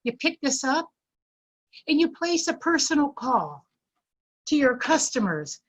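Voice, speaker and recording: A middle-aged woman giving a polite, formal business presentation as a guest speaker in an online meeting, with deliberate emphasis. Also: high voice, very animated. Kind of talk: prepared business presentation to an online networking group.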